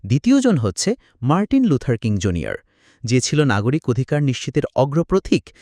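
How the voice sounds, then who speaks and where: A man's voice: medium pitch, sing-song, normal speed, mellow, no room sound, clean studio sound. A man voicing a clever young lamb who persuades with confidence in a cartoon. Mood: explanatory.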